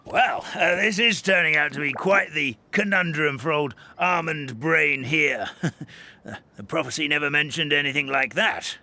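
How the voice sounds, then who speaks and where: A man voicing a kindly, forgetful elderly forest creature in a video game, telling stories in a halting, rambling, theatrical way. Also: on the high side, sharp tone, slight background noise. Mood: flustered. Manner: halting, rambling, theatrical storytelling with stammered repeats. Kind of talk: video game character storytelling. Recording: studio voice over background music.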